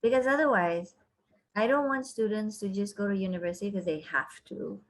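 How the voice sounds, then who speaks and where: A woman who is a university counselor, giving measured, thoughtful and encouraging answers in an interview. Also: medium pitch.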